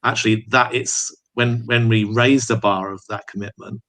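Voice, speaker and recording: Guy hosting an interview, lowish voice, upbeat, lots of restarts. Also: steady tone, moderate pace, fairly bright tone. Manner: encouraging, many false starts. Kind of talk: casual interview.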